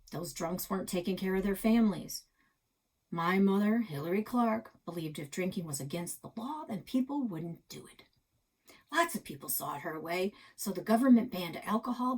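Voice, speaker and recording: A woman reading a children's storybook, voicing a gruff, grumpy old grandfather with a folksy, plainspoken manner. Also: lowish voice. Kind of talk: children's storybook read-aloud.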